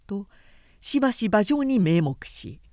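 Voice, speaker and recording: A woman narrator reading a literary work aloud as an expressive storyteller. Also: medium pitch, takes it slowly, no room sound, clean studio sound. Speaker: narrator, reader.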